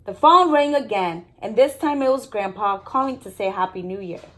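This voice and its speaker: A woman reading a children's story aloud in an expressive storytelling manner. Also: mid-range voice, normal speed. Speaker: storybook reader. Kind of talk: children's story read-aloud with brief commentary.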